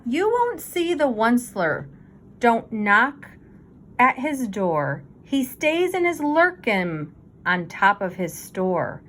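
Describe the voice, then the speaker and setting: Woman storybook reader, slightly high voice, very lively intonation, expressive and deliberate with children's verse. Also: a little hiss or hum. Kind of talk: read-aloud of a rhyming children's story.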